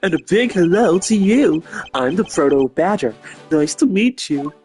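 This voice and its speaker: High, loud, cheery badger mascot. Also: male voice, expressive, normal speed, faint music behind. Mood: cheerful, welcoming. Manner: bubbly, loud, welcoming. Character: cheery theme park badger mascot, friendly greeter. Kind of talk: video game character voice.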